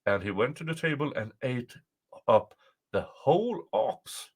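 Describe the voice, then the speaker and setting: A male storyteller reads a folktale aloud. His intonation swings widely, and he phrases things deliberately for dramatic effect. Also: medium pitch, sing-song, breathy edge. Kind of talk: expressive folktale reading.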